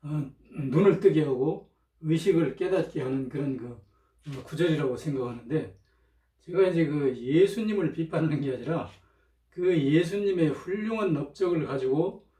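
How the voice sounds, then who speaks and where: A man talking in an informal, rambling monologue, hesitant, often clearing his throat, with a slightly strained throat, on a cheap microphone. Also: a little high, expressive, normal speed, mellow. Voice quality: strained throat from chronic irritation. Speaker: lecturer, channel host. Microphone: cheap, low-volume microphone. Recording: roomy, distant cheap microphone.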